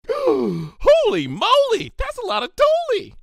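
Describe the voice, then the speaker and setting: A man voicing a bouncy, excitable, scatterbrained young female pony who rambles and exclaims naively in a cartoon fan fiction reading. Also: very high voice, slow, vocal fry, no room sound, clean studio sound, pitched above the performer's own voice, full character voice. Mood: shocked, excited.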